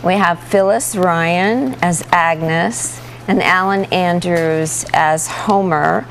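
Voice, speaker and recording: A woman's voice: fairly low pitch, takes it slowly, low-quality recording. A woman chatting as an interview guest, agreeable and explanatory in a casual broadcast style. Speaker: interview guest from a community theater group. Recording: studio talk with clear background noise.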